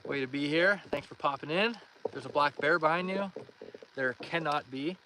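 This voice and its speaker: A nineteen-year-old man from Northern Ontario with a Canadian accent, chatting in a relaxed, friendly way and reading viewer comments aloud on a live stream. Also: a little high, moderate pace. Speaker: live-streaming fishing host. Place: outdoors on a lake in a canoe. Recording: outdoor stream with clear background noise. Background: water and boat noises.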